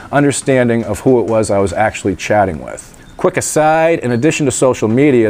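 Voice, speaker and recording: A man's voice: medium pitch, darkish tone. A man vlogging outdoors in casual talk, a little nasal from a stuffed-up nose, with chatty, wry asides. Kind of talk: casual vlog talk to camera. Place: outdoors in sunshine by a gate.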